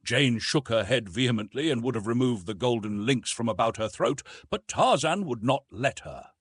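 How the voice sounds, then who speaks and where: Expressive male audiobook narrator, slightly husky. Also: medium pitch, normal speed, no echo. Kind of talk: scripted storybook narration of an adventure novel.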